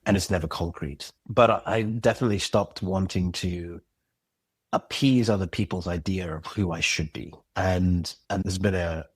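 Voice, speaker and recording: A man raised in Zimbabwe speaks in a low, husky voice, musing out loud as a podcast guest. He pauses, restarts and keeps asking whether he is understood. The sound is dry and close. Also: steady tone, no room sound. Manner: thoughtful, searching, with frequent restarts and "you know what I mean". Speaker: podcast guest, audio drama creator. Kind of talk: reflective interview conversation.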